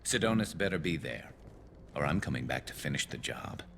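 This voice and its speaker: An actor playing a brooding, vengeful male alien soldier in a video game, with a grim, measured, menacing delivery. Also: animated, a bit bright, slight background noise, full character voice. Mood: bitter, resolute. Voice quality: very deep, gravelly.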